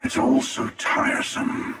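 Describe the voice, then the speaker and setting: An actor playing a cynical, taunting, world-weary interdimensional hunter in a video game, with a gravelly, processed, otherworldly voice. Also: male voice, a little slow, fairly bright tone. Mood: cool menace. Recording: cavernous echo, distant-sounding processed voice.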